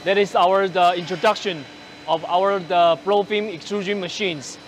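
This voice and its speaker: A man in his forties presenting machinery on a large factory floor, speaking haltingly with many fillers and restarts, using a lapel mic. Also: high voice, fairly even intonation, slight background noise. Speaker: company presenter on a factory tour. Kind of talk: product walkthrough.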